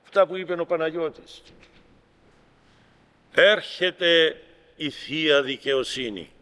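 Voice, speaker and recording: A man with a Greek Cypriot accent preaching as an Orthodox bishop, reading aloud and commenting in deliberate, emphatic short phrases. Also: on the high side, bright, forceful tone, clear, resonant tone. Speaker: Orthodox bishop giving a homily. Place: large reverberant room at a gathering. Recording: reverberant hall with long echo.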